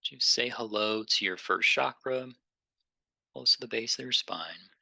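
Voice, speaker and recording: Guy doing guided meditation, super bright crisp voice w some fry, slow n gentle, really dry close sound. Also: mid-range voice, dry recording. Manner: gentle, unhurried, pausing between phrases.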